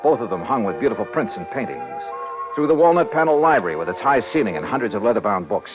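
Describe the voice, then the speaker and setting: An actor playing a brash, wry insurance investigator in a 1950s radio noir drama. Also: male voice, mid-range voice, very animated, fast talker, over music, lightly acted. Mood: wry narrating. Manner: wry, flippant, casual. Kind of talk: 1950s radio noir acting and first-person narration. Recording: dull vintage radio recording.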